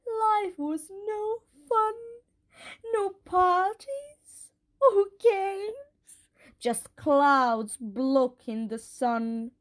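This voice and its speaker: A woman performing as a theatrical fairytale storyteller in an audio play. She recites rhymed verse dramatically, extremely slowly and in a very high voice. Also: expressive, exaggerated voice. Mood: dramatic. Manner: dramatic, deliberate.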